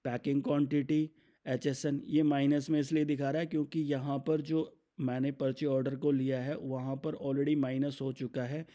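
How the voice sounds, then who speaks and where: A man with an Indian accent narrates a software demo in a conversational, step-by-step way, often posing questions and answering them himself. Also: mid-range voice. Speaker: software product demonstrator. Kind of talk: explanatory software demo narration, semi-scripted.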